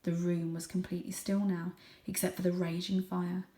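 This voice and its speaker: A woman reading a children's story aloud with expressive narration, recorded in an echoey room. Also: on the low side, moderate pace, recorded from a distance. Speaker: storybook reader.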